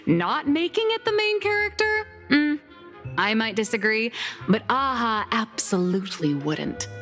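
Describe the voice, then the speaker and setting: A woman's voice: on the high side, sing-song, measured pace, fairly bright tone. A woman playing a theatrical young comic artist in an anime game, lecturing like a self-assured, mock-scholarly teacher. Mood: lecturing, dramatic. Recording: long reverb, music behind.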